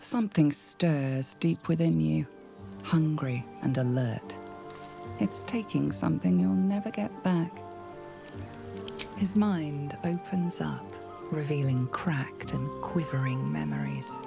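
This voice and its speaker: A grave, mysterious storyteller, narrating a dark fantasy game. Her low voice is heavily creaky, measured and hushed, with clear music behind it. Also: expressive, exaggerated voice. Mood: hushed, ominous. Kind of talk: scripted second-person game narration.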